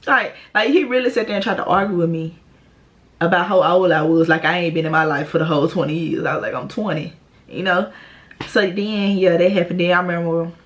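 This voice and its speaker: A woman, telling a profane, animated storytime to her vlog viewers. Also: fairly low pitch, fairly quick. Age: 20s. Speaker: vlogger. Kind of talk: casual storytime monologue.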